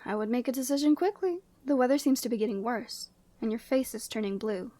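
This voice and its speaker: A woman playing a proud, commanding yeti woman in a scripted ASMR roleplay, speaking in an imperious, measured and formal way. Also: fairly high pitch, warm tone, no room sound, natural voice. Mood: stern, bargaining.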